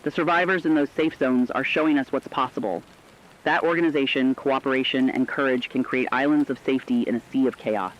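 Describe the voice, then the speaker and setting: A man's voice: mid-range voice, steady tone, brisk, slight background noise, lightly acted. A man playing a solemn, earnest radio commentator, giving rhetorical scripted commentary during an emergency broadcast. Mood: solemn, hopeful. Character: solemn, humane male radio commentator in an apocalypse. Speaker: radio co-host. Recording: dull, lo-fi radio sound.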